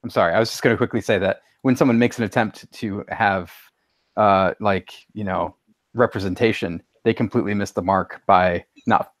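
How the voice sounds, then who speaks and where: Lower-voiced man on a podcast panel. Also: steady tone, normal speed, no room sound, studio quality. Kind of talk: casual panel discussion.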